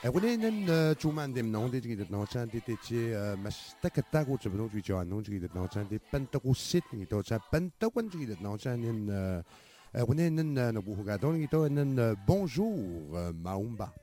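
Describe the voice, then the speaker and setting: A man's voice: mid-range voice, very animated, normal speed, dark tone. A man playing an interviewer in a comedy sketch, hesitating often with filler sounds.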